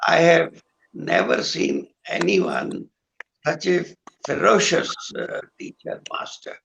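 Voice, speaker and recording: An older man with a hoarse voice, a guest musician talking in a slow, halting way with many pauses over a video call. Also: on the high side, huge pitch swings, fairly bright tone, a little hiss or hum. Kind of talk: recalled conversation with singing.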